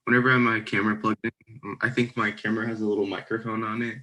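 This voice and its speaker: Bright-voiced, hesitant male student. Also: on the low side, level tone, fast talker, poor audio. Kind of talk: casual class chat on a video call. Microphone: video call.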